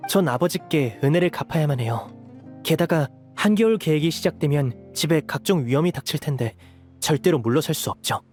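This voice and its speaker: A man's voice: on the high side, animated, fairly quick, darkish tone, lightly acted. An actor playing a loyal young man, earnest and respectful, in a dramatic game scene. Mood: earnest, resolute. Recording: music under the voice.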